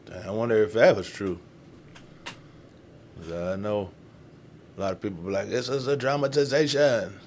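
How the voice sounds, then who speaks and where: A man's voice: lowish voice, moderate pace, long pauses, a little mellow, a little hiss or hum. A man giving casual, slangy reaction commentary as the host of the video. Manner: colloquial, slangy.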